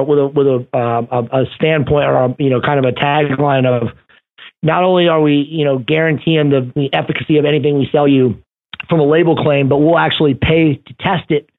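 Man in his forties over a tinny phone line. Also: mid-range voice. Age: 40s. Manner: rambling, many fillers and restarts. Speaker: podcast guest. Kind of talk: casual interview talk.

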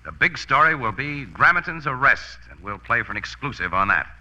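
An actor playing a shrewd, assured newspaper photographer who solves crimes in a 1940s radio drama. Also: male voice, mid-range voice, very animated, sharp tone. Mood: decisive. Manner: brisk, informal, sure of himself. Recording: dull vintage radio recording.

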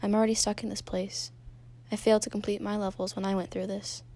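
A woman's voice: mid-range voice, flat delivery, quick pace, mellow, natural voice. A woman playing a devoted, trapped female companion in a story, earnest and reassuring. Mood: resigned.

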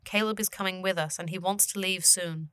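Female actor for otherworldly guide in fantasy audio drama: earnest, deadpan, very formal, forceful bright tone, dry close recording. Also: on the low side, fairly quick, smooth, no room sound. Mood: patient, formal. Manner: stiffly formal, avoids contractions, deadpan.

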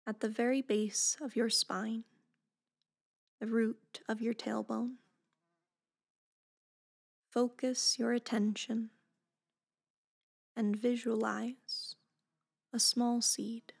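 A woman leads a guided meditation in a soft, breathy voice, giving gentle, unhurried instructions with phrase endings that fall close to a whisper. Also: fairly high pitch, little pitch movement.

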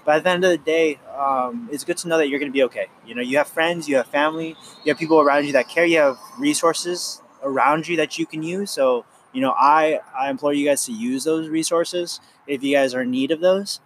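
A man's voice: fairly high pitch, brisk, few pauses, a little hiss or hum, poor audio. Earnest rambling young man in his twenties. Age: 20s. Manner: rambling, earnest, many fillers and restarts. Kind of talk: casual chat.

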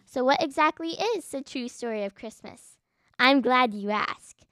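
High-voiced young-sounding female podcast co-host. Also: animated, a little slow, bright, forceful tone, clear, resonant tone, no room sound, clean studio sound. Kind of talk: scripted children's podcast chat and narration.

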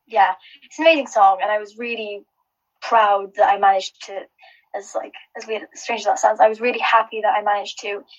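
A woman's voice: a little high, highs cut off. A woman who is a finalist guest, chatting casually, rambling with lots of restarts and giggles. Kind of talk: casual chat.

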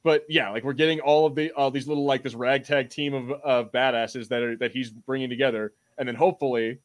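A man co-hosting a podcast in casual chat, talking like an excitable fan and often repeating and rephrasing himself. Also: medium pitch, fairly quick. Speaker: podcast co-host.

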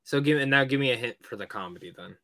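A man co-hosting a podcast, chatting casually about movies in an animated, slangy way with plenty of swearing. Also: medium pitch, fast, no echo. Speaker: podcast co-host.

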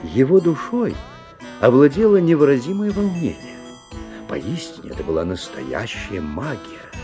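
An actor playing a bemused, observant father who narrates a magical story in a radio drama, with measured, expressive storytelling. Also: medium pitch, over music, close to an everyday voice. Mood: wondering.

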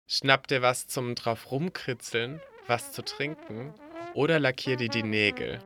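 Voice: medium pitch, measured pace, bright, forceful tone, faint music behind. A performer reciting scripted lines in an audio play, casual and matter-of-fact.

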